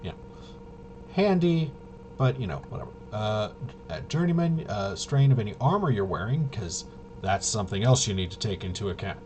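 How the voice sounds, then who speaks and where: A man with a fairly low voice talks casually through game rules as a reviewer. He is halting and mumbles as he half-reads. The recording has clear noise behind him. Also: big pitch swings, a little mellow. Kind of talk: casual off-the-cuff rules walkthrough. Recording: clearly noisy home recording.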